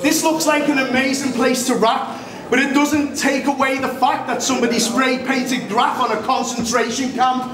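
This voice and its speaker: A young man with a Liverpool accent, delivering a cappella battle rap loudly and forcefully in a brash manner. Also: very high voice, flat delivery, moderate pace. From Liverpool, England. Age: young adult. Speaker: battle rapper. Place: crowded indoor club venue. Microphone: overhead boom. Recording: echoey venue with loud crowd.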